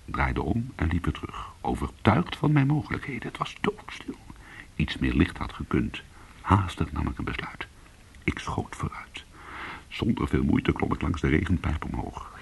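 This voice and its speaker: An actor playing a weary, hard-boiled former cat burglar, telling his story in an intimate, brooding and deliberate way in a radio play. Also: rumbling low, very animated, gravelly, breathy edge, in a whisper, heavily acted. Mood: hushed, tense.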